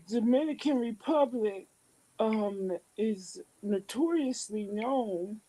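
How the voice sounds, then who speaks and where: Woman, casual discussion, slow deliberate questions, mellow tone. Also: on the high side, low-quality recording. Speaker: caller asking a question.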